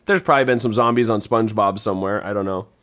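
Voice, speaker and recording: A man's voice: lowish voice, fast talker, a bit bright. A middle-aged man talking casually as a podcast host, putting questions to the group. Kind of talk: casual round-table chat.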